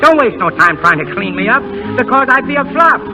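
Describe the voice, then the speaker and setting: A brash, carefree burlesque comic in an old 1930s radio play. He talks quickly in a high-pitched voice, slangy and cocky. Music plays behind the vintage broadcast. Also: very animated. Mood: defensive, joking. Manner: slangy, cocky wisecracking vaudeville talk.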